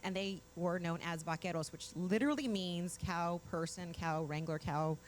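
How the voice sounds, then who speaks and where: A woman's voice: lowish voice, moderate pace, slight background noise, low-quality recording. A woman from Mexico hosting a morning show, chatting with enthusiasm and explaining things in a bubbly, talkative way. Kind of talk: casual studio chat.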